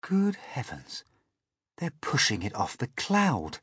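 A man voicing a cautious, curious boy in a storybook, urgent and often hushed. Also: fairly low pitch, big pitch swings, unhurried, breathy edge, dry recording, studio quality, recorded from a distance, exaggerated voice. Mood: excited alarm.

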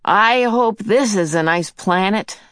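A woman's voice: medium pitch, slow, fairly bright tone, no room sound, clean studio sound, voice pushed up. A woman voicing a curious teenage boy looking around.

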